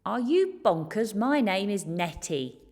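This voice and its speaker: Storybook she-monster, played by a woman reader: huge, affronted, growly, swinging widely in pitch, slightly husky. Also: big pitch swings, unhurried, a little mellow. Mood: furious, insulted. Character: indignant, furious, glaring female mountain monsters.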